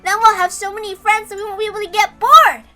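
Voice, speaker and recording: A tiny cuddly plush lion, sweet and babyish, with a very high, bright voice. It is a storybook creature in a visual novel reading, with music behind. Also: female voice, fairly even intonation, fast. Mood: playful, inviting. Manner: babyish, with a cute verbal tic. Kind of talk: visual novel read aloud in character.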